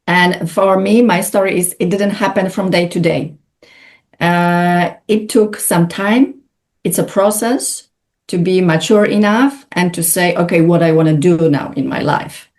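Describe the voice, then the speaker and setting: Hesitant woman, low voice. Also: measured pace, a little mellow. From Poland. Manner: hesitant, many filler sounds. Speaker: guest. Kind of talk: conversational interview.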